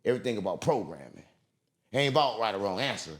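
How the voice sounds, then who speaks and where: A man's voice: on the low side, expressive, fast. A man being interviewed, talking in a rambling, emphatic, profane way and repeating himself. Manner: emphatic, repetitive, street slang, profane. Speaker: interview guest. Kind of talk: rambling conspiratorial monologue.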